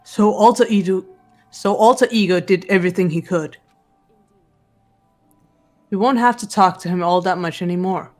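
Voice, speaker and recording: A woman voicing a cool, blunt, analytical teenage girl detective, deadpan and terse, in amateur game voice acting. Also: on the low side, moderate pace, pauses often, soft background music, natural voice. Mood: flat, final.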